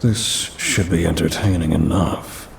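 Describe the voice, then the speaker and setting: Man voicing a deep, gravelly, smug ancient demon. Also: heavily acted. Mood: amused, condescending. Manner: haughty, teasing, old-fashioned wording, drawn-out delivery. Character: smug, ancient male demon trapped in a cursed necklace. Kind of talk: ASMR audio roleplay acting. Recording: rumbling rain and thunder noise.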